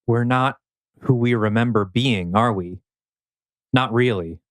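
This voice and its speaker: Man acting as unsettled thriller protagonist, medium pitch, dry recording, script read. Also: unhurried, no room sound, natural voice. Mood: uneasy.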